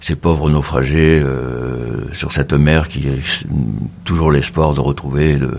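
A man from around the Mediterranean, a photographer giving a radio interview, speaking haltingly with many pauses and fillers. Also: rumbling low, fairly even intonation, gravelly, a little mellow. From Mediterranean, between Tunisia and Marseille. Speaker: photographer, guest.

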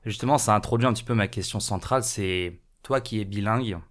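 Quick-talking male radio host, lowish voice. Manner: measured, probing questions. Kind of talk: radio interview questions. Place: radio studio.

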